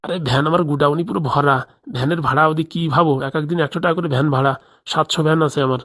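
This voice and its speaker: A woman's voice: deep voice, rapid-fire. A woman voicing a crooked, bossy male village boss in a comedy cartoon, scheming aloud with a rural Bengali accent.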